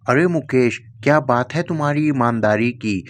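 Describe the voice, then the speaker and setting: A man's voice: medium pitch, normal speed, close to an everyday voice. A man doing a hearty rich landlord. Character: rich kindly male landlord, generous older man.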